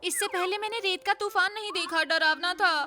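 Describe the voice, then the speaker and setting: A woman voicing an eager, anxious young boy train in a Hindi cartoon dub, with a squeaky, earnest, exclamatory delivery. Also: steady tone, brisk. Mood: shaken, grateful. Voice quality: childlike, squeaky. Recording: loud music under the voice.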